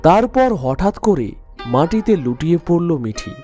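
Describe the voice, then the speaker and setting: A man's voice: a little high, very animated, moderate pace, dark tone, soft background music. A man narrating a scripted story in a dramatic storytelling style.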